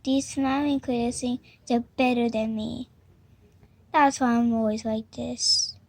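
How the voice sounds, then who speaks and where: A woman's voice: a little high, level tone, a little slow, darkish tone, voice pushed down. A woman voicing a gloomy, friendless toy dog who begs in a subdued, mournful way. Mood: gloomy pleading.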